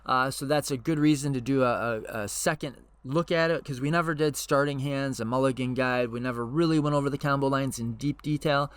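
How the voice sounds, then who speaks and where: A man hosting his own channel, hoarse and losing his voice, talking casually and unscripted with frequent fillers and restarts. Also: medium pitch, fairly quick, few pauses. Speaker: channel host.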